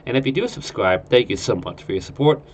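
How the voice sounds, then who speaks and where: A man's voice: medium pitch, big pitch swings, fast talker, a little hiss or hum. A man with a nasal, stuffed-up voice from a cold, rambling through casual gameplay commentary. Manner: rambling, lots of uh fillers. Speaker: game streamer.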